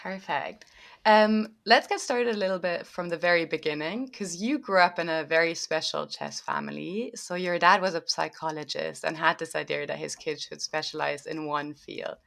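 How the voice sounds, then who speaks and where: Low-pitched woman host with creaky voice. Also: moderate pace, fairly bright tone. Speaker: interview host. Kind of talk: scripted introduction and conversational interview questions.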